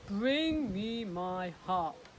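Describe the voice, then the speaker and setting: A woman voicing a big, gruff, greedy male giant in a children's story, speaking slowly and loudly over background noise. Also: mid-range voice, animated. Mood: booming. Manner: slow, loud and drawn out.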